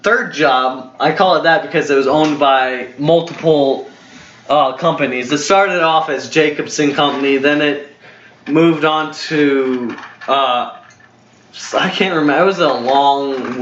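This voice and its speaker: A man's voice: a little high, fairly bright tone, slight background noise. Rambling young man in a roomy room. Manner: rambling, full of fillers and self-corrections. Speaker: storyteller host. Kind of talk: casual storytelling chat. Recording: roomy, dull lo-fi home recording.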